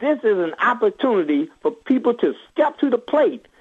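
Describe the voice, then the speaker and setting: Husky, high-voiced man on a phone line. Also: very animated, normal speed. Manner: emphatic, repeats phrases for force. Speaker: reverend and activist, radio phone-in guest. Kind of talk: impassioned political interview talk. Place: on a phone line to a radio show. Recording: muffled, phone-like radio call-in line.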